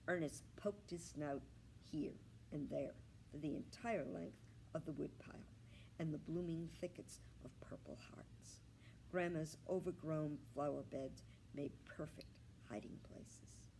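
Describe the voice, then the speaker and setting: A woman reading a children's story aloud in an expressive narrating style, as a storytime reader. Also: low-pitched, slight background noise, low-quality recording.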